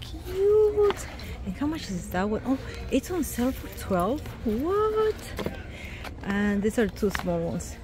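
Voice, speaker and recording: A young woman chatting casually and excitedly to her phone camera while shopping and then eating out. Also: fairly high pitch, slow pace, over music. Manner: chatty, excited, exclaims over cute things. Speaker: vlogger. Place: busy store and fast-food restaurant. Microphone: handheld phone camera. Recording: noisy public place ambience.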